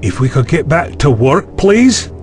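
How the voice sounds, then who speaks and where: A man's voice: on the high side, sing-song, measured pace, darkish tone, soft background music. A man playing a skeptical, impatient university chancellor in an audio drama, speaking bluntly and with exasperation.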